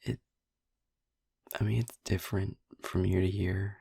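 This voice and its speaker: Man playing a low, husky, haunted vampire boyfriend. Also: steady tone, no echo, clean studio sound, full character voice. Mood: somber, reflective. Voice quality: breathy, husky, often near a whisper. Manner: halting, soft, hesitant, with long pauses. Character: brooding, tender male vampire boyfriend, haunted by his turning. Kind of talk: intimate boyfriend roleplay audio drama.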